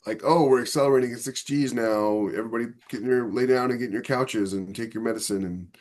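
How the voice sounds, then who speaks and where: A man's voice: medium pitch, fast talker, darkish tone. A man co-hosting a writers' podcast, talking casually and bluntly, repeating himself for emphasis. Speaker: podcast co-host and science fiction author. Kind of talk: casual conversation among writers.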